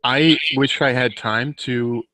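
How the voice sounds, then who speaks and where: A man's voice: medium pitch, fairly even intonation, takes it slowly, pushed and bright, dry recording. A man speaking as an author on a casual podcast panel, chatty and self-deprecating.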